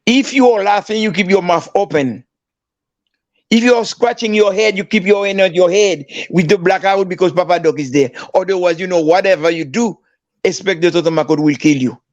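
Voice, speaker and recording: An older man from Haiti, a podcast guest, telling a story slowly and deliberately, with pauses. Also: sits high, no room sound, lo-fi sound. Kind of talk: storytelling in conversation.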